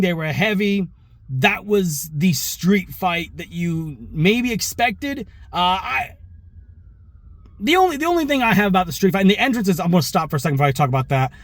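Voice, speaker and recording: A man with a fairly high voice and a touch of hoarseness gives his opinions as a show host. The recording is dry and close. He talks casually and conversationally, wandering into asides and correcting himself as he goes. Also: no echo. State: slightly hoarse. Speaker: wrestling reaction show host. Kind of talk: casual opinion commentary to viewers.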